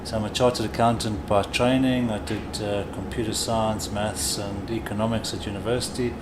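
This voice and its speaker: A middle-aged man from South Africa with a South African accent, giving measured, conversational answers as an executive being interviewed. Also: on the low side, fairly even intonation, background noise. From South Africa, grew up in Durban. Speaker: interview guest, corporate chief executive.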